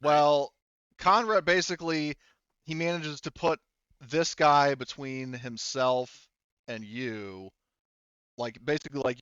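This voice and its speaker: A man's voice: medium pitch, a bit bright, clear, resonant tone, no echo, lo-fi sound. A man acting as game master, casually talking through rules and narrating a tabletop game.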